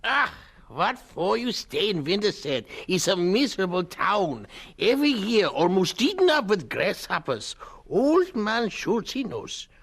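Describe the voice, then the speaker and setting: A slow-talking old German man, gloomy and halting, in broken English. His pitch swings widely as he grumbles, in a radio drama style. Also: sing-song, a bit bright, heavily acted. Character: gloomy old German immigrant hotel keeper.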